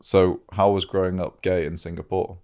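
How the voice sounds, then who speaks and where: A man's voice: sits low, level tone, normal speed, a little mellow. A man hosting an interview, putting probing questions to his guest. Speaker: interviewer, host.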